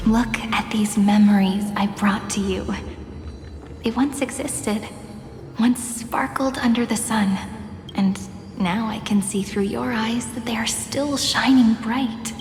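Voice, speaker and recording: Soft, wistful young woman in echoing reverb. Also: a little high. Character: gentle, wistful young woman, devoted keeper of memories. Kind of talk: dramatic video game voice acting. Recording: long echoing hall reverb, music behind.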